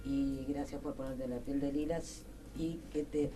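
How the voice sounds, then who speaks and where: An Argentine woman hosting a casual radio conversation, reformulating her thoughts haltingly as she goes. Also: extremely low pitch, fairly even intonation, mellow, faint music behind, rough recording. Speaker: radio host. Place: radio studio.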